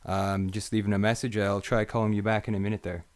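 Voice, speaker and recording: A man's voice: lowish voice, little pitch movement, quick pace. A Canadian man from Northern Ontario hosting a live interview show, agreeable and full of fillers, often saying "for sure". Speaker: host.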